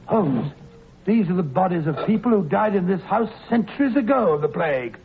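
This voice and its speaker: A jittery, fretful companion in an old radio play, his high voice swooping up and down. The sound is thin and tinny like an old broadcast, with faint hiss behind. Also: lively intonation, moderate pace. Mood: horrified. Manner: jittery, fretful, easily alarmed. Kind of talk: 1940s radio acting.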